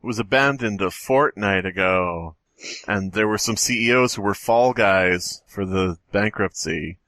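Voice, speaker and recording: A man's voice: lowish voice, a little slow, fairly bright tone, dry recording. A man co-hosting a podcast, tossing teasing quips into casual banter. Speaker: podcast co-host.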